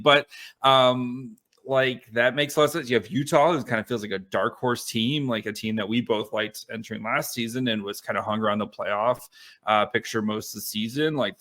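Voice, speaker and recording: Guy co-hosting a sports pod, average pitch, super casual, kinda rambles through his takes. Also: fairly bright tone. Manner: rambling, loose, peppered with "like" and "right". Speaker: sports podcast co-host. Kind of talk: casual, chatty sports analysis.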